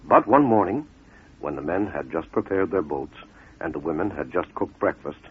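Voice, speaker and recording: A man narrating a fable as a scripted dramatic storyteller, in measured, deliberate phrases with pauses for effect, on a muffled radio recording. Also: low-pitched, lively intonation, slight background noise.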